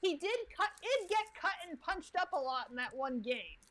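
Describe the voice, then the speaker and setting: High, lively woman reacting casually. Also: normal speed, noisy background. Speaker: reaction streamer.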